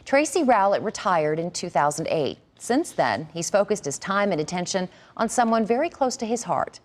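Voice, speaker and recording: A woman's voice: medium pitch, big pitch swings, normal speed. Woman news anchor, polished scripted narration.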